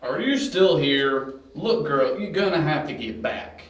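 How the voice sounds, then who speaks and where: A man's voice: fairly high pitch, animated, unhurried. A man acting a brusque, commanding police officer in an amateur audio drama. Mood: impatient, commanding. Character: brusque male police officer clearing a crime scene. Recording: very echoey space, distant mic.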